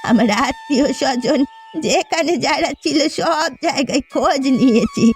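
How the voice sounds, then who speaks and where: Woman playing a slow, tearful old village grandmother. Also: high voice, soft background music, close to an everyday voice. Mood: tearful, distraught. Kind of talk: cartoon voice acting in melodrama.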